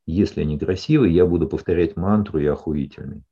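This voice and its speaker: A male psychologist calmly explaining ideas to a client in a session, often checking in with short questions. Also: a bass voice, fairly quick, breathy edge, lo-fi sound. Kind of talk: counseling session.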